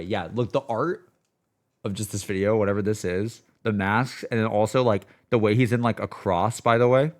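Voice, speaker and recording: A man giving a casual, rambling livestream reaction, peppering his talk with filler words like a laid-back chat among friends. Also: on the low side. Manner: rambling, heavy use of "like" and "dude". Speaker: streamer reacting to game music.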